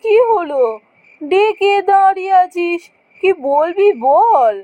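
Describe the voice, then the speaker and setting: A woman voicing a busy, impatient mother in a cartoon, nagging briskly at her grown daughter. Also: very high-pitched, dry recording, phone-quality sound. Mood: impatient, scolding. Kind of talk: cartoon voice acting.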